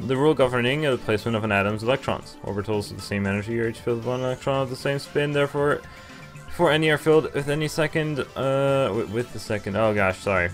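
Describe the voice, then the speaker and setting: A young man reading game text aloud and commenting casually as he plays. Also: mid-range voice. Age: young adult. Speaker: game streamer. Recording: game music behind.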